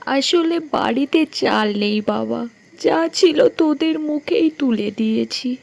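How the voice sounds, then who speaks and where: A woman's voice: high voice, takes it slowly, gravelly, dry recording, full character voice. A woman voicing a poor, self-sacrificing village mother in a cartoon, fretful and pleading. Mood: resigned. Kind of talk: cartoon dialogue.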